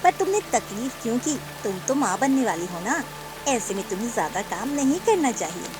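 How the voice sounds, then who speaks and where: A woman voicing a kindly older aunt bird in a cartoon story, speaking in a warm, motherly, soothing way. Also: on the high side, very animated, moderate pace, background noise. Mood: fond.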